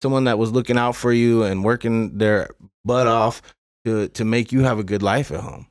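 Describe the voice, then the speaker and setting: A man hosts a casual podcast interview in an eager, animated way. He stumbles and restarts often, filling his sentences with you know and like. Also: mid-range voice, normal speed. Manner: enthusiastic, stumbling, lots of fillers. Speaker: podcast host.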